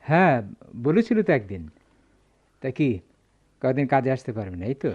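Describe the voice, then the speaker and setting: Smooth evasive merchant, Bangladeshi accent, swooping expressive pitch, patronising, dull radio drama sound. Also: male voice, normal speed. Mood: affable. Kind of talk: Bengali radio drama acting. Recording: slightly dull radio recording.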